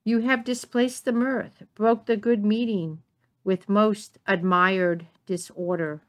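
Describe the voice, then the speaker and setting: A woman playing a composed, commanding queen in a measured Shakespearean dramatic reading. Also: medium pitch, steady tone, lightly acted. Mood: firm, urgent. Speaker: amateur reader in a dramatic reading.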